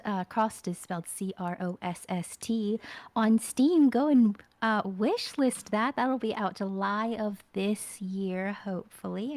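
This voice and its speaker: A woman's voice: a little high, no room sound. A woman speaking casually as a guest on a talk show, a little hesitant and self-effacing. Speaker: voice actor, guest. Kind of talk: casual self-promotion chat.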